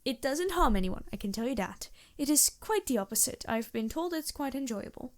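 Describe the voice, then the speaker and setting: A woman voicing a polite, anxious and gentle vampire with formal, earnest phrasing in a fantasy audio roleplay. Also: high-pitched, expressive, fairly quick. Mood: polite, reassuring. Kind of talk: fantasy ASMR roleplay acting.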